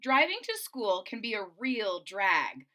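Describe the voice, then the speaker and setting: Lively, bright-voiced woman reading to children. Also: medium pitch, measured pace. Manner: expressive, explains to young listeners, dramatic emphasis. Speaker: storyteller reading a picture book aloud. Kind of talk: animated children's read-aloud with asides. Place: small indoor room at home.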